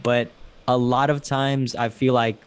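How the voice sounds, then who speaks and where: A man's voice: mid-range voice, level tone, a little slow, clear, resonant tone. A man in casual podcast conversation, laid-back, joking and slangy.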